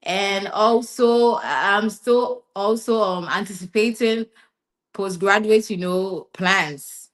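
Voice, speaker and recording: Woman from ghana, young, chatty and loose, keeps adding yeah and basically, middle pitch. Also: slow. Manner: relaxed, rambling, repeats herself, fond of "yeah" and "basically". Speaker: interview guest, master's student. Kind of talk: casual interview chat.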